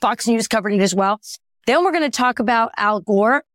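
A woman co-hosting a casual political chat podcast, hoarse and raspy from a cold, lively and quick to laugh. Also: on the high side, dry recording, studio quality. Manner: lively, laughs easily, asks her co-host questions. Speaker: podcast co-host.

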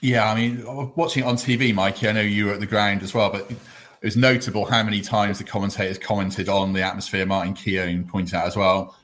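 A man's voice: lowish voice, level tone, brisk, a bit bright. A man hosting a football podcast from home, chatting casually with friendly, self-deprecating asides. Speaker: podcast host.

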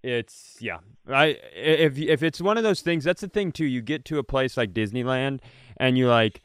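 A middle-aged man co-hosting a podcast from his home, chatting casually, laughing often and bantering back quickly. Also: mid-range voice, expressive, a bit bright, clean tone, no room sound, studio quality. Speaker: podcast co-host. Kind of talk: casual chat. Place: room at home.

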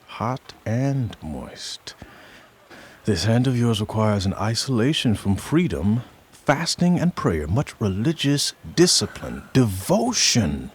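An actor playing a jealous, suspicious army general in a radio play, speaking slowly and intensely, with sudden whispers and shouts. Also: male voice, fairly low pitch, big pitch swings, breathy edge, exaggerated voice.

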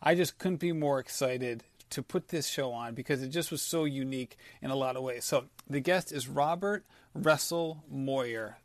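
A man's voice: on the high side, no echo. A man hosting a running podcast, talking enthusiastically and rambling a little as he introduces and interviews his guest. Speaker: podcast host. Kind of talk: casual interview chat.

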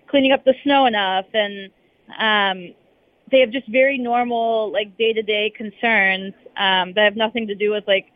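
A woman's voice: medium pitch, no echo. A young woman, a journalist, answering interview questions in a hesitant, rambling way with lots of hedging. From United States. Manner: hesitant and rambling, full of hedges. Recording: tinny phone-line sound.

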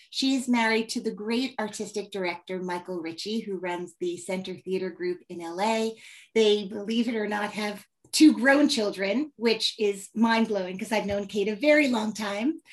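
Podcast host, woman, mid pitch, effusive and affectionate. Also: rough recording. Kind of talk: podcast interview.

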